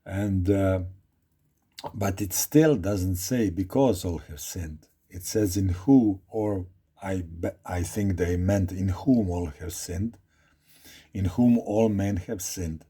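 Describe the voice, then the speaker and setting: A man with a Croatian accent talks slowly through a Bible study, dwelling on each phrase and repeating himself as he reads. His voice sits low and mellow, with a husky edge. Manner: slow, deliberate, repeats phrases while reading aloud. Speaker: Bible teacher. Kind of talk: informal Bible study talk.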